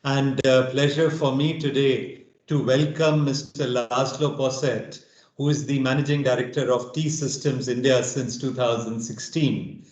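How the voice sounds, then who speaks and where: A man hosting an interview, giving a formal introduction and asking questions, with pauses and some fillers. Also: mid-range voice, darkish tone. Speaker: interview host. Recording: echoey room.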